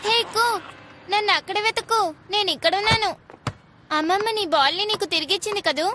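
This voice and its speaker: A woman's voice: very high voice, fairly quick, over music. A woman voicing a confident, teasing young girl in a dubbed cartoon, with a breezy, friendly manner.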